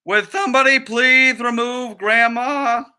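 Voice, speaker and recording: A man's voice: very high-pitched, fairly even intonation, measured pace, sharp tone, smooth, pitched above the performer's own voice, full character voice. A man voicing a bossy, exasperated film director in a storybook, with an indignant, emphatic delivery.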